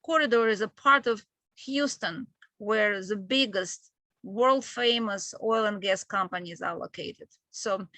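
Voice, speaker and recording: A woman from Ukraine with a Ukrainian accent, presenting a webinar in a halting, explanatory way as an admissions manager. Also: medium pitch, bright, forceful tone, no room sound. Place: online video call with screen sharing.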